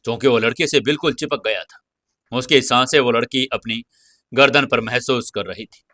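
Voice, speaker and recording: A man's voice: mid-range voice, normal speed, bright, forceful tone, no echo, clean studio sound. A man narrating an audio serial in a dramatic, scripted storytelling style.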